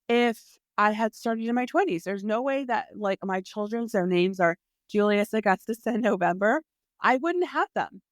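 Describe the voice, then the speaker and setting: A woman's voice: fairly high pitch, dry recording, studio quality. A woman in her forties hosting a podcast, giving an earnest, emphatic faith-based motivational talk, speaking intimately to the listener and repeating phrases for stress. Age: 40s. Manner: addresses listener intimately as sis. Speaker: podcast host and dating coach.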